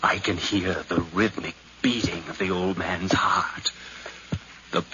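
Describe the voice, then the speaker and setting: Through clear old-radio noise comes a deep-voiced, murderous nephew. He broods slowly and nervously in a hushed, trembling voice, in vintage radio drama style. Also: steady tone, muffled recording. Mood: menacing, intense. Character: anxious, murderous nephew plotting by night. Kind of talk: 1950s radio drama acting. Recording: noisy 1951 radio recording.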